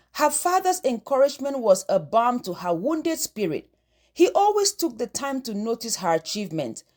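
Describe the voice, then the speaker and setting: A woman's voice: high voice, darkish tone. A woman narrating a scripted folktale as the storyteller.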